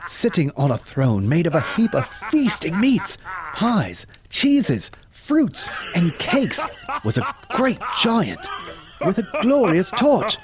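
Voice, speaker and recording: A man giving dramatic, expressive scripted narration for an audio drama. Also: high-pitched, slow, crowd noise.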